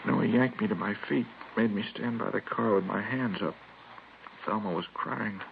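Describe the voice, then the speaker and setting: An American man playing a shaken, wounded schoolteacher in his thirties, speaking haltingly and earnestly in an old radio drama. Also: on the low side, vocal fry. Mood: distressed. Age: 30s. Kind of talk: 1950s radio drama acting. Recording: tinny, noisy vintage radio recording.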